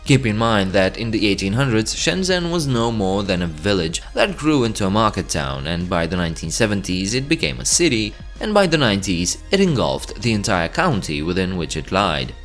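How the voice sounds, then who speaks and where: Deep-voiced man narrating, lively delivery. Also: moderate pace, hardly any pauses, soft background music. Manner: chatty asides to the viewer between facts. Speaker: channel host. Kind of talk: scripted educational narration.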